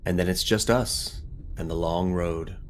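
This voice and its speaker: A man's voice: sits low, steady tone, unhurried, a little hiss or hum. A man giving casual let's play commentary, thinking aloud in an informal way and sometimes reading game text. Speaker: gaming video host. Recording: music behind the voice. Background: guitar game music.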